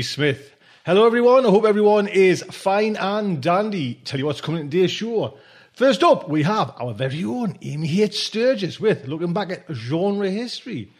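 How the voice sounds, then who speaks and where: A chatty man hosting a podcast, with a notably high voice that moves freely up and down. He presents informally and with open enthusiasm, as if talking to regular listeners. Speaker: podcast host.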